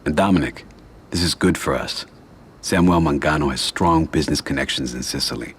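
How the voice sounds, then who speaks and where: An actor playing a composed, cautious middle-aged mafia boss with quiet command, in a video game cutscene. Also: male voice, rumbling low, a little fast, a little hiss or hum. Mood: measured.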